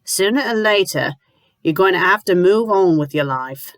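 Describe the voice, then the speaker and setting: A woman voicing a brisk, bossy middle-aged landlady who talks firmly and sternly. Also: on the low side, lively intonation, no room sound, clean studio sound, natural voice. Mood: coaxing.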